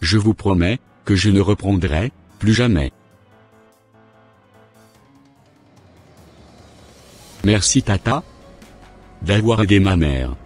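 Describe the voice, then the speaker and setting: A man playing a wayward, sheepish young swindler with a husky voice in an amateur cartoon, music behind him. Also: sits low, expressive, unhurried, a little mellow, heavily acted. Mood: subdued, remorseful. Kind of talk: amateur animated drama acting. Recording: background music.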